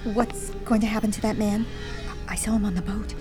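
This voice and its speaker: A woman's voice: mid-range voice, level tone, a little fast, lightly acted. An actress playing a frightened, impatient young woman, urgent and questioning, in an audio thriller. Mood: alarmed. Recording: music behind the voice.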